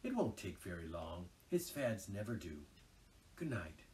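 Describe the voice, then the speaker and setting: A man voicing a patient, loyal male water rat who speaks softly and low. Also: big pitch swings, unhurried, recorded from a distance, slight background noise. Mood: quiet, steady. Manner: soft, low, wistful. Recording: voice a little off-mic.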